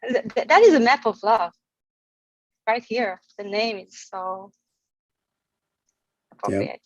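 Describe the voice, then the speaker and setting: A woman's voice: a little high, animated, dry recording. Woman in her forties on a muffled dry call, lots of ums and restarts, originally from israel. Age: 40s. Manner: halting, many fillers and restarts. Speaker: caller sharing in a group meditation call. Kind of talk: heartfelt casual sharing. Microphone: video call.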